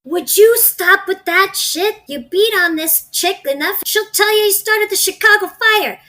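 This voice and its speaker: Woman playing a brash, high-voiced female gang boss. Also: measured pace, bright, forceful tone, heavily acted. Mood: bossy, impatient. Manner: impatient, sarcastic, profane. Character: brash, foul-mouthed female crime gang boss giving orders. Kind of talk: audio drama acting.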